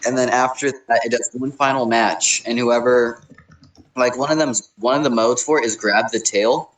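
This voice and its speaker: Young male podcast co-host, medium pitch, conversational and matter-of-fact. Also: steady tone, normal speed, a bit bright, a little hiss or hum, rough recording. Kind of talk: casual chat, explaining.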